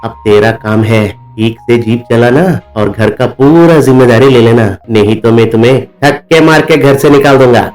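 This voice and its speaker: A man voicing a stern older father in a cartoon, speaking in a gruff, scolding manner. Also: mid-range voice, moderate pace, few pauses, a little mellow, reverberant, soft background music, pitched below the performer's own voice, close to an everyday voice.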